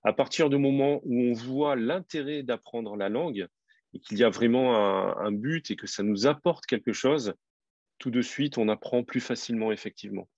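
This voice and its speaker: A man who teaches French, hosting an interview and asking quiz questions clearly and encouragingly. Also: mid-range voice, normal speed, a little mellow, no echo, muffled recording. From France.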